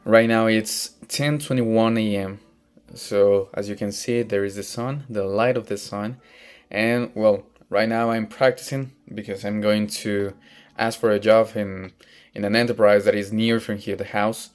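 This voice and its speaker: A young man from northern Mexico, a native Spanish speaker with a Mexican accent, talking casually about himself in English and often pausing to search for words. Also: lowish voice, steady tone. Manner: hesitant, searching for words, frequent restarts. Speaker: vlogger practicing English. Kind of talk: casual personal monologue. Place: outdoors in sunlight.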